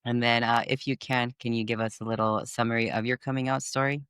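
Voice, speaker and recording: A man's voice: mid-range voice, flat delivery, quick pace, fairly bright tone, clean tone, no echo, clean studio sound. A man co-hosting a podcast, blunt and casually swearing. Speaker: podcast host. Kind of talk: casual interview chat.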